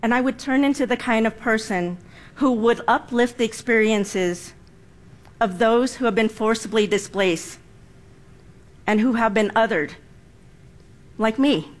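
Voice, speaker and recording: A woman in her forties, born in Vietnam with Vietnamese as her first language, giving a prepared personal speech in a deliberate, emphatic way. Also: a little high. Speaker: speaker.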